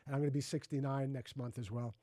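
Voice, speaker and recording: A man's voice: medium pitch, fairly even intonation, fast talker, dark tone, dry recording, studio quality. A man in his sixties hosting an interview, reminiscing effusively and often restarting his sentences. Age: 60s. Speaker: host. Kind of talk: casual interview conversation.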